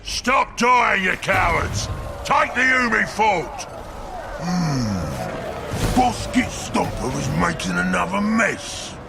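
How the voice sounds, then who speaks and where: An actor playing a cunning, brutish Ork war boss in a game, gravelly and harsh, with rough slangy bluster. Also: male voice, high-pitched, very animated, slow, roomy sound, in a large hall, music in the background, full character voice. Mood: angry bellowing. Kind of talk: cartoonish game villain acting.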